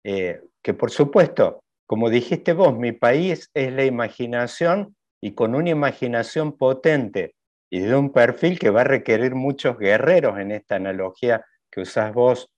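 Hesitant Argentine interviewer. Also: male voice, mid-range voice, expressive, moderate pace, a little mellow. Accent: Argentine Spanish. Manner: hesitant, many fillers while building questions. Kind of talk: interview conversation. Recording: dull lo-fi sound.

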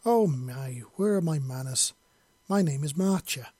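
A man's voice: a little high, animated, unhurried, dark tone, husky, no echo. A man voicing a mysterious, confident woman who speaks in a playful, gracious and assured manner. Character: mysterious, playful, confident beautiful dark-haired young woman.

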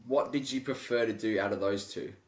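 A man's voice: fairly low pitch, brisk. A young man hosting a podcast, chatting casually with lots of swearing and "bro". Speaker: podcast host. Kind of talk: casual chat.

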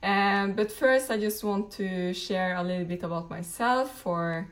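A woman's voice: medium pitch, a little slow. A woman, talking casually on a live stream as a network marketing team leader, rambling with many fillers and restarts. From Norway. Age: late 20s. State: a bit tired, just woken up.

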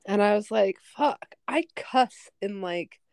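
Calm young woman, dry close sound. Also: mid-range voice, slow, dry recording. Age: 20s. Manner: calm, fills speech with "like". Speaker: podcast guest. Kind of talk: casual chat.

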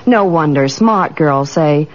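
A woman's voice: low voice, lively intonation, slow. A woman delivering scripted advertising dialogue in the style of 1940s radio. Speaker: commercial spokeswoman. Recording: muffled old radio recording.

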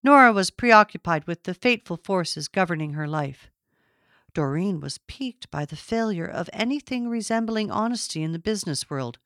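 A woman's voice: fairly low pitch, lively intonation, moderate pace, fairly bright tone, dry recording, studio quality. A woman narrating a literary story from a script. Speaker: audiobook narrator.

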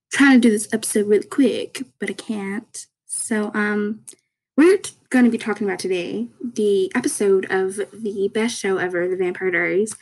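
A young woman hosting a casual podcast, her voice raspy from just waking up, talking in a rambling, chatty way with many fillers. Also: medium pitch, a little mellow, low-quality recording. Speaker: podcast host.

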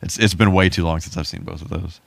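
A man's voice: sits low, steady tone, fast, vocal fry, no room sound. A man co-hosting a podcast in casual, informal conversation. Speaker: podcast co-host. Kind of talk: casual chat.

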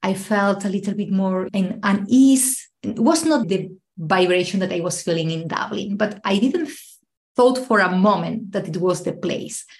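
A woman's voice: mid-range voice, moderate pace. A woman from Spain, a podcast guest telling a personal story, laughing often as she talks. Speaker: guest, travel coach. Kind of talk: podcast interview.

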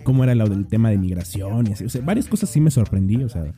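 Mexican dude cohosting a podcast, laughs a lot, chill slangy talk, deep mellow tone. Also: male voice, animated, fast talker. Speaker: podcast co-host. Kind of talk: casual podcast chat.

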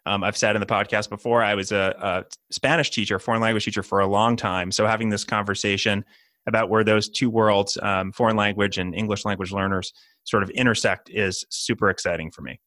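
Low-voiced man hosting a podcast. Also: steady tone, brisk, sharp tone, no echo, clean studio sound. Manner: friendly, hesitant, repeats words when starting sentences. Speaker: podcast host, former Spanish teacher. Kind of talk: conversational podcast interview.

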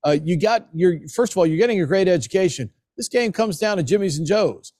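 An American man speaks as a guest sports analyst in a conversational style. His voice sits a little high for a man, and the sound is dry with very little room in it. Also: expressive, brisk, a little mellow, no room sound. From Maryland, United States. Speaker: sports broadcaster, interview guest.

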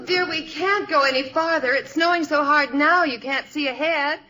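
A vintage radio recording with faint noise carries a middle-aged American mother. She is high and bright in voice, practical but full of gentle doubt, in domestic comedy style. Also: normal speed, rough recording. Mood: gently worried. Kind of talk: old-time radio comedy acting.

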